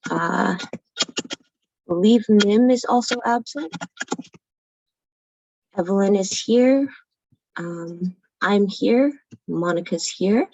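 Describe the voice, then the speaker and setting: A woman's voice: medium pitch, darkish tone, no echo, dull audio. Young woman chairing a student meeting. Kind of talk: running a meeting.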